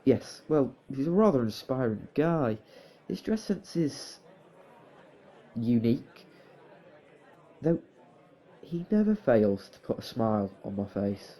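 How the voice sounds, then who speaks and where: A man's voice: mid-range voice, very animated, slow pace, pauses often, mellow, full character voice. A man playing a long-suffering, exasperated but fond young husband in a fan audio drama, flustered and grudging.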